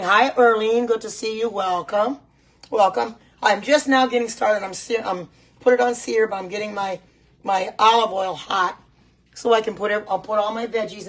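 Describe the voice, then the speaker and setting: A middle-aged woman with a hoarse voice, chatting casually and repeating herself as she hosts a live cooking demonstration. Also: medium pitch. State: hoarse voice, clearing throat at times. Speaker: host of a live home cooking stream. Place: home kitchen.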